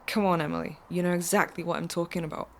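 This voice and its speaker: An actress in an audio drama playing a mysterious woman driver who is calm, insistent and reassuring. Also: fairly low pitch, fast, vocal fry, slight background noise. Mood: calm, ominous.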